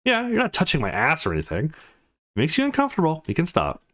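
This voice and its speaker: A man voicing a sweet, coaxing, relaxed adult woman landlady in a visual novel. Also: on the high side, quick pace, voice pushed up. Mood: reassuring.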